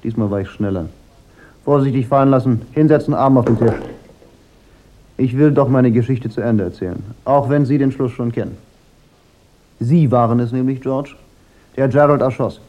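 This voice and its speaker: A cool, sardonic private eye, played by a male actor with a weary confidence. He talks in a dry, measured radio-drama manner at a medium pitch. The recording is slightly dull. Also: lightly acted. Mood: controlled, accusing. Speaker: detective and first-person narrator. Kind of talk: German radio crime drama acting.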